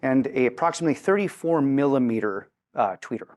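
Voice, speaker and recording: A man's voice: mid-range voice, lively intonation, brisk, darkish tone. A man speaking as a product representative in an interview, articulately explaining technical details with rhetorical questions. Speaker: company representative presenting a product.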